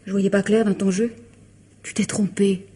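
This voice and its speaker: An actress playing an exasperated, scornful younger wife in a French radio mystery, theatrical and reproachful. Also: female voice, mid-range voice, flat delivery, normal speed, warm tone, lightly acted. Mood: scornful, furious.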